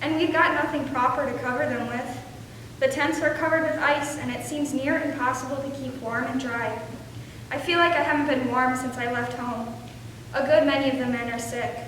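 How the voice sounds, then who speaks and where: A woman performing earnest 19th-century male soldier, letter monologue, high voice, heavily reverberant stage. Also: fairly even intonation, moderate pace, fairly bright tone. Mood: weary, earnest. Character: earnest, freezing male Civil War soldier writing home. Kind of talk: readers theater letter monologue. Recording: very echoey hall, distant, faint noise.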